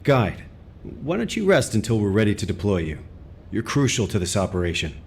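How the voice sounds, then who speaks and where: A man's voice: on the low side, very animated, a little fast. A man voicing an authoritative, caring commander who speaks in a composed, decisive way. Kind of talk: game cutscene dialogue.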